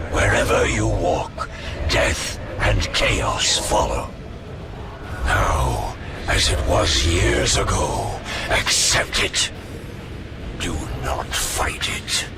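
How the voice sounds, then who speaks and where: An actor playing an ancient, menacing spectral king of death in a video game, with a gravelly voice and solemn, archaic, grandiose delivery. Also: exaggerated voice. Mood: commanding. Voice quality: very deep, gravelly. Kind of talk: dramatic video game villain acting. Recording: loud music under the voice.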